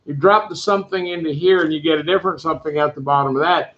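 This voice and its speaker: A man teaching a math class, giving loud, direct, brisk instructions to his students. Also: a little high, steady tone. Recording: echoey classroom, dull lo-fi sound.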